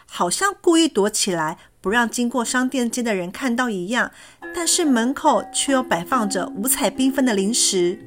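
A woman with a Taiwanese Mandarin accent narrating a storybook for children in a gentle, even read-aloud manner. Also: on the high side. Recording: faint music underneath.

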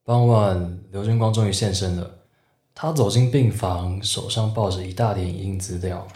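A man's voice: fairly low pitch, fairly even intonation, normal speed, a little mellow, natural voice. A young man with a Taiwanese accent acting a lovestruck, teasing student in an audio drama. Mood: wistful narrating. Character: lovestruck teasing male student narrating from hospital. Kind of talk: audio drama narration and dialogue. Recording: roomy echo, music behind.

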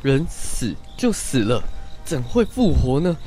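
An actor playing an anxious, doubting older village man in a radio drama, with expressive sighs and exclamations, as in a biblical tale. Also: mid-range voice, slow, soft background music. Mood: troubled, doubtful.

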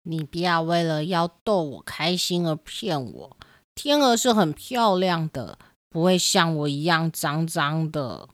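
A woman's voice: deep voice, lively intonation, measured pace, dry recording, studio quality, voice pushed down. A woman voicing a sad, self-doubting young duckling in a children's storybook radio play, speaking earnestly. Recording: music behind the voice.

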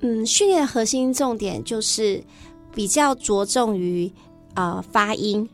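A woman's voice: on the high side, slow pace, soft background music. A woman with a Taiwanese Mandarin accent talks as a teacher being interviewed, with frequent affirmations and the odd English term. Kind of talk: conversational interview explanation.

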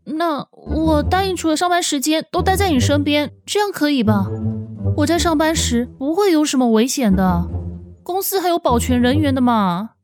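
A woman's voice: high-pitched, expressive, normal speed, music in the background, pitched above the performer's own voice. A woman voicing a flustered, whiny young heiress who stammers and pleads in an audiobook drama. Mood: pleading.

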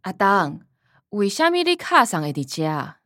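A woman playing a cynical, calculating young granddaughter in a radio drama, sardonic and expressive, with a Taiwanese accent. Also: medium pitch, moderate pace, no room sound, clean studio sound. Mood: inquisitive. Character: young adult granddaughter, cynical, calculating, sharp-tongued schemer.

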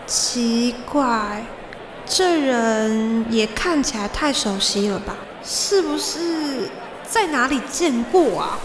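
High-voiced expressive teenage girl played by a woman. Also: slow, background noise. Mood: curious. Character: excitable teenage girl, devoted idol fan. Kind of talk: Chinese audio drama acting. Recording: music under the voice.

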